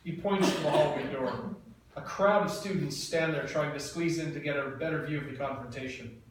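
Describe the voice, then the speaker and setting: A man's voice: a little high, normal speed, far from the mic. Man reading stage directions, echoey room. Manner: plain, even reading with small stumbles. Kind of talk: reading screenplay action lines. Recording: echoey room, mic from afar, faint noise.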